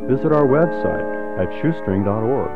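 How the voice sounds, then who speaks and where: A man's voice: medium pitch, sing-song, a little slow, over loud music. A man working as a radio theatre announcer, reading scripted credits in a narrow-band recording.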